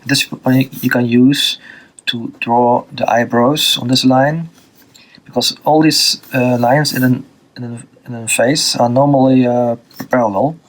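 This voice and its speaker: A man from Belgium with a Dutch accent, teaching a drawing lesson off the cuff, pausing often with fillers and restarts while he draws. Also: mid-range voice, steady tone, rough recording. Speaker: art teacher. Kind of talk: unscripted drawing tutorial.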